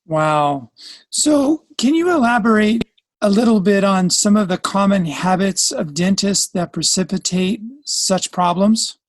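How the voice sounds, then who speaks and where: A man's voice: high voice, expressive, a little slow, no echo. A man hosting a podcast, introducing his guest and asking questions in an enthusiastic, friendly way. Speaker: podcast host. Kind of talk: podcast interview.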